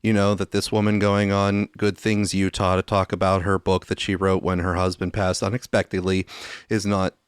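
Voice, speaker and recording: A man's voice: sits low, little pitch movement, normal speed, clean tone, dry recording, clean studio sound. A man hosting a podcast, talking casually in a candid, wordy way and swearing freely. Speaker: podcast host. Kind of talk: casual chat, setting up news clips.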